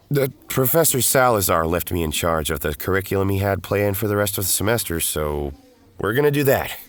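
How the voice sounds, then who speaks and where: A man's voice: deep voice, no echo. A man, middle-aged, acting as a world-weary former hero turned professor who narrates his own story in an audio drama. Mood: addressing class. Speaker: narrator and lead actor. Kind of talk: scripted first-person audio drama narration. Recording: music under the voice.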